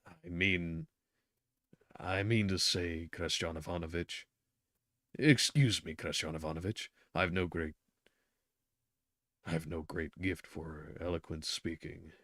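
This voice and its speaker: A man's voice: low-pitched, takes it slowly, long pauses, fairly bright tone, no room sound, clean studio sound, heavily acted. A man voicing a timid, awkward middle-aged clerk who speaks hesitantly and stammers. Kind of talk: reading a novel aloud.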